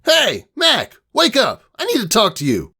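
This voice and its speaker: A man's voice: sits high, huge pitch swings, unhurried, creaky, sharp tone, breathy edge, pitched above the performer's own voice, heavily acted. A man voicing a bold, brash young female pegasus pony with a cocky, pushy manner in a storybook reading. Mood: urgent.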